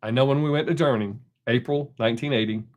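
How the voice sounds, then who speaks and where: A man's voice: medium pitch, fast talker. A middle-aged man, hosting a casual live stream and chatting with viewers. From American South. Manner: chatty, rambling, reads chat aloud. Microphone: desk microphone.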